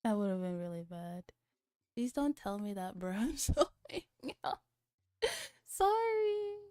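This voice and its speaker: Female VTuber host, soft mellow tone, dry close mic with faint music behind, casual and playful chat. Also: mid-range voice, very animated, a little slow, no echo. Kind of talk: casual livestream chat, reading a visual novel aloud.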